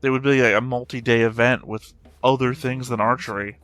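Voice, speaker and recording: A man's voice: mid-range voice, steady tone, a little fast, a bit bright. A man giving casual, blunt reaction commentary as the host of a reaction video.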